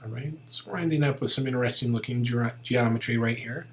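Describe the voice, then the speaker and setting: A man giving an informal step-by-step software tutorial, thinking aloud as he works. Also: mid-range voice, fast, slight background noise.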